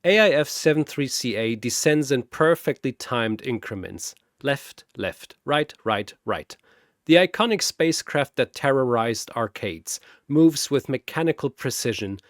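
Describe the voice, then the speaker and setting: A man giving scripted story narration in a dramatic manner with wry, deadpan asides. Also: medium pitch, unhurried, dry recording, studio quality.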